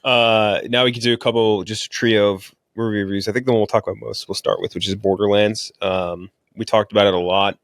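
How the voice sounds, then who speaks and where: A man with a fairly low voice speaks as a podcast host in casual review chat. His manner is informal and blunt, and the sound is dry, with very little room on it. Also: steady tone, fairly quick, few pauses, dry recording.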